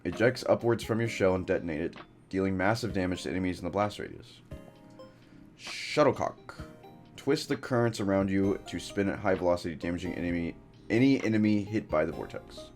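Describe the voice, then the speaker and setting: A man with a deep voice giving laid-back let's play commentary, reading game text aloud. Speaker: gaming channel host.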